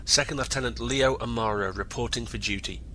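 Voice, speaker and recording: A man's voice: mid-range voice, fairly quick, natural voice. A man playing a disgruntled special-operations lieutenant in a science fiction audio drama, stiffly formal and respectfully defiant. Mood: formal, hesitant. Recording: steady background ambient noise.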